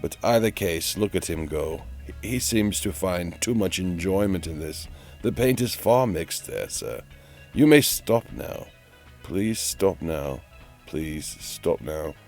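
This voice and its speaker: Man putting on a deep, pompous, melodramatic narrator. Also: animated, darkish tone, faint music behind, exaggerated voice. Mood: weary, sardonic. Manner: theatrical, pompous, drawn-out repetition. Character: pompous, exasperated, melodramatic male narrator mocking the painter. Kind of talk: mock-dramatic DIY voice-over narration.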